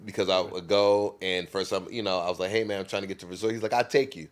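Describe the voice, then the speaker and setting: Man, livestream co-host, very deep voice, easygoing and chatty. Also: steady tone, fast talker. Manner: easygoing, chiming in with short agreements. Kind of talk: casual chat on a livestream.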